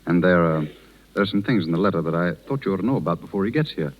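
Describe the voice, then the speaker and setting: An actor playing a kind, reserved gentleman with a hesitant manner in a 1930s radio drama. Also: low voice, expressive. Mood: careful. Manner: hesitant, halting. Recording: muffled 1930s radio broadcast recording.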